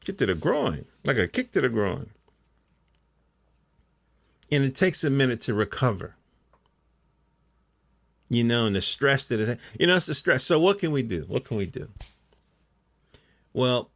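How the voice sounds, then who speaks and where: A man's voice: medium pitch, big pitch swings, a little slow, gravelly, pauses often, a bit bright, dry recording. A middle-aged American man hosting a podcast, talking casually in a rambling, colloquial monologue and often checking in with the listener. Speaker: podcast host.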